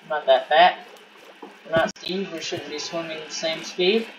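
A man giving casual gaming commentary, excitable and rambling, often repeating words and restarting his sentences. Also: on the high side, moderate pace. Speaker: gameplay commentator. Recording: distant mic with noisy background.

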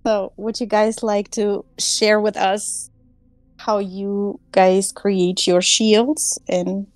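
A woman's voice: medium pitch, little pitch movement, slow, a little mellow, dry recording. A woman, hosting an online class over a video call in a casual, giggly way full of fillers. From Germany. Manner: chatty, giggly, many fillers. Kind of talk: casual group call chat.

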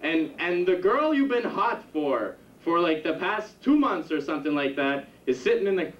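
A man's voice: on the high side, sing-song, a bit bright, far from the mic. Young male stand-up comic in a roomy club. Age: young adult. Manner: rambling, halting delivery with many restarts. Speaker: stand-up comedian. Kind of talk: stand-up set. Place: comedy club stage. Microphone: handheld stage mic on a stand. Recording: roomy, dull 1991 camcorder tape from the audience. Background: audience laughter.

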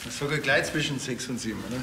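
An actor playing a dry, curt small-town police officer with a terse manner. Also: male voice, medium pitch, racing, pushed and bright, slight background noise, natural voice. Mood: matter-of-fact.

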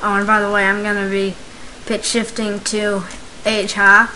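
A speaker giving a casual, unscripted step-by-step software tutorial, recorded at home. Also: medium pitch, monotone, normal speed, low-quality recording. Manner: informal, unscripted step-by-step narration. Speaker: tutorial maker. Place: indoors, home computer screen recording. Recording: hissy, clicky home microphone recording.

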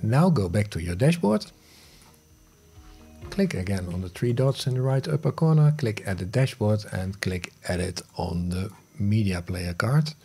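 A man in his fifties narrating a step-by-step software tutorial in short instructional phrases, recorded on a close studio mic. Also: lowish voice, expressive, a little slow, slightly rough, soft background music. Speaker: host of smart home tutorial videos. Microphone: close studio mic on a boom arm.

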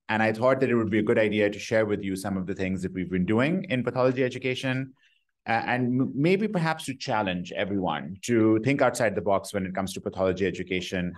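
A middle-aged man giving an academic lecture on a room microphone, talking eagerly in quick bursts and poking fun at himself. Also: fairly low pitch. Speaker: pathology grand rounds speaker. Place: lecture hall. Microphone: room microphone, uneven volume.

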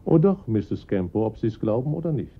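Composed, formal police inspector. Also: male voice, fairly low pitch, animated, breathy edge. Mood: measured, probing. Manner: formal, measured questioning. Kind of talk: German radio crime drama acting. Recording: muffled lo-fi radio play recording.

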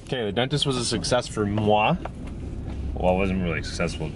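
A man's voice: on the low side, expressive, fairly quick, noisy background. A man in his thirties vlogging about his family day in a casual, upbeat, chatty way, filming himself at arm's length in a van and at home. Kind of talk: casual vlog chat. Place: inside a family van, then a living room. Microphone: camera held at arm's length.

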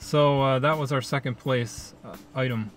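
A man's voice: medium pitch, steady tone, normal speed, faint music behind. A young man co-hosting a food vlog from a parked car, easygoing with dry little jokes. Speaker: food vlogger co-host. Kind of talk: casual food review chat. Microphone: camera on dashboard.

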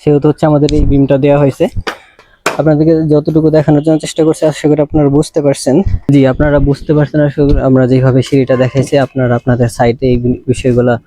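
A man's voice: a little high, monotone, quick pace, mellow, rough recording. A man with a Bangladeshi accent gives an informal on-site instructional explanation as an engineer, repeating himself and hesitating, with frequent filler phrases. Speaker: civil engineer presenting a how-to video. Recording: music running behind the voice.

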